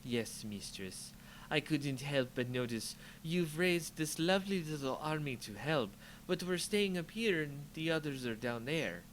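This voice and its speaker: A man's voice: fairly high pitch, expressive, a bit bright, voice pushed up, rough recording. Guy doing a sad groveling henchman for a fantasy story, hesitant, drags his words, bit of noise behind. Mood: forlorn, servile. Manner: deferential, hesitant, drawn-out. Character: hunched, bulging-eyed misshapen servant, forlorn and servile.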